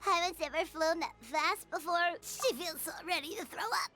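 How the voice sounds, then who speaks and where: A woman voicing a small, excitable, childlike floating companion in a video game, squeaky and exclamatory. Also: normal speed. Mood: worried. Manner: third-person self-reference, exclamatory.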